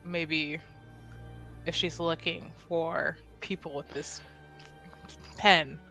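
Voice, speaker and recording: A woman's voice: low-pitched, steady tone, drawn-out words, creaky, bright, forceful tone, faint music behind, poor audio. A woman playing a tabletop game, asking tentative questions in casual chat.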